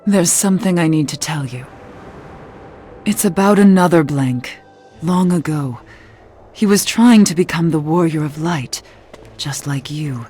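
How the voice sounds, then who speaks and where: A woman playing a mysterious cursed witch in a video game, speaking in a grave, composed, measured way. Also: low voice, faint music behind. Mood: solemn, haunted. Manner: measured, grave, quietly dramatic. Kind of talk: video game cutscene acting.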